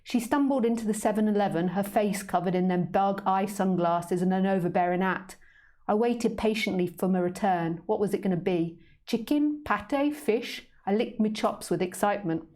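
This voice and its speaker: A woman's voice: mid-range voice, brisk, mellow, natural voice. A woman reading her storybook as a vain, proud male street cat who speaks in working-class London slang. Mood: smug.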